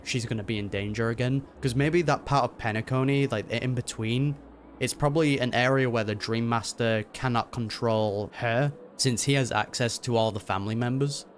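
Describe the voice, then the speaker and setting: A man's voice: medium pitch, fairly even intonation, normal speed, slight background noise. A man hosting a casual game reaction video, with slangy, wry commentary.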